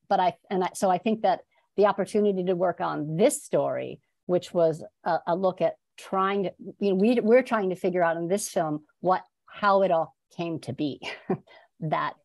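A middle-aged woman talks as a documentary-maker guest in an interview. Her voice is on the low side and the sound is dry, with an informal, slightly halting way of explaining things. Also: a little mellow, no room sound. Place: plain room at home, indoors. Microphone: wired earbuds on video call.

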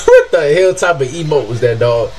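A young man with a deep voice reacting casually to basketball highlights, hollering and trash-talking in slang from a small room on a desk mic. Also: moderate pace. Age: young adult. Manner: excitable, yelps and hollers, slangy trash talk. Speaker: sports reaction streamer. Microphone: webcam and desk mic.

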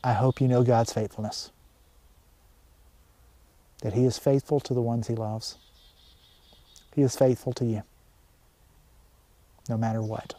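Dark-voiced man preaching slowly. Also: mid-range voice, flat delivery, no echo. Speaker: pastor. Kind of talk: gentle short sermon with pauses. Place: outdoors in a pine wood.

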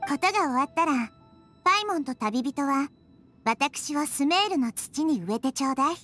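High, gentle little goddess girl. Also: lively intonation. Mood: gentle, solemn. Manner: measured, earnest, gentle explaining. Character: tiny gentle childlike nature goddess, wise and selfless. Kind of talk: Japanese anime game voice acting. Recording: studio voice over background music.

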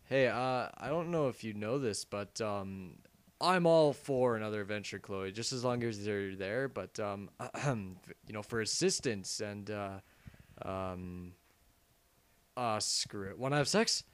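A man's voice: lowish voice, measured pace, clear, resonant tone, lightly acted. A man voicing a cocky, crude, reluctant adventure hero in an amateur parody game dub, with casual comic delivery. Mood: awkward.

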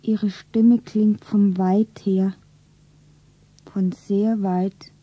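An actress playing a grieving, tearful young shop girl in a 1970s radio drama, breathy, theatrical and often whispering. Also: mid-range voice, flat delivery, dry recording. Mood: dazed. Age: young adult. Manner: slow, theatrical, self-narrating. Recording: dull radio play recording.

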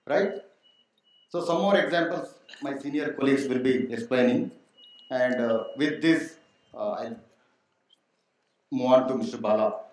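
A man's voice: mid-range voice, darkish tone. A middle-aged man moderating a panel with a handheld mic, putting questions straight to the audience. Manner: quizzes the audience directly. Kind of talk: panel discussion. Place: large auditorium stage. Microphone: handheld microphone. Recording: very echoey hall.

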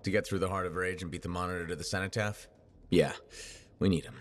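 A man voicing a steady, dry-humored mercenary pilot in a video game, husky and understated. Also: deep voice, fast, lightly acted. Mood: matter-of-fact.

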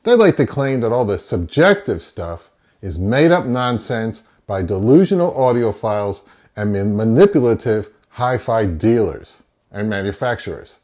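Middle-aged man, very lively, swinging intonation. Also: fairly low pitch, normal speed. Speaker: audio review channel host. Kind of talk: opinionated talk to camera. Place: small home office, indoors.

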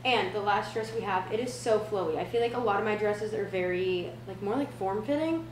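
A young woman in her twenties chats informally to a camera, with a mid-range voice. She sounds like she is vlogging in a roomy home kitchen, with echo around her words and clear background noise. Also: mid-range voice, brisk. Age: 20s. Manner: chatty, informal, slangy asides. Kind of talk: casual vlog chat, try-on haul. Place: indoors, open-plan home kitchen and living area. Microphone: camera mic a few feet away.

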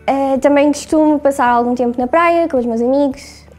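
A woman's voice: sits high, normal speed, a little mellow, faint music behind. A nineteen-year-old woman with a European Portuguese accent, talking in a plain, matter-of-fact way. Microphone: lapel mic.

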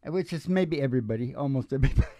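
A man's voice: medium pitch, animated, rapid-fire, dark tone, no echo. A man in his sixties talking casually and jokingly on stage between songs, in a rambling conversational way, into a vocal microphone. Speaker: musician and show host. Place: small live music venue, on stage. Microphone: stage vocal microphone on a stand. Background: acoustic guitar.

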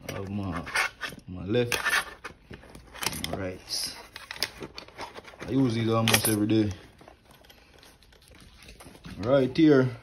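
A man with a fairly low voice talks through his work tools in an easy, plain way, with lots of "like" and "right here." He is recorded indoors on a phone held close to the bag. Faint clicks and handling noise sit under his voice. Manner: relaxed, plain talk with many "like" and "right here". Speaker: HVAC technician showing his tools. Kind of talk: casual show-and-tell vlog. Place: indoors at home, wooden floor. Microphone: phone camera held close, filming his hands.

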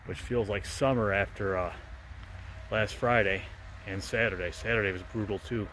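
A man in his forties, a truck driver vlogging casually to camera from his cab, talking in a relaxed, rambling way with plenty of "uh" fillers. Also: fairly low pitch, moderate pace, a little hiss or hum, poor audio. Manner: easygoing, rambling, with many "uh" fillers. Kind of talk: casual vlog chat to camera. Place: inside a truck cab and outdoors in winter. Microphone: handheld or dash camera at arm's length.

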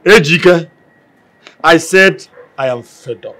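A man's voice: a little high, slow pace, bright, forceful tone. An actor with a Nigerian accent playing a fed-up, self-important older family man who complains in declamations. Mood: exasperated. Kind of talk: Nollywood film acting.

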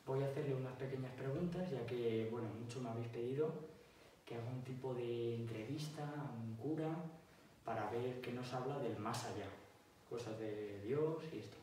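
A man's voice: mid-range voice, normal speed, dark tone. Young Spanish man interviewing, very echoey. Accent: Spain. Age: teens to young adult. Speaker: interviewer, YouTuber. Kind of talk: casual interview questions. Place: indoor hall with wooden bench. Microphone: small handheld mic. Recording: very echoey room, distant, faint noise.

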